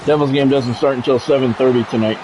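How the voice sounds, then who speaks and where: A man's voice: mid-range voice, steady tone, fast talker, noisy background. A middle-aged man doing live sports game commentary like a radio sportscaster, chatting with viewers and repeating phrases for emphasis. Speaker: game streamer and play-by-play announcer.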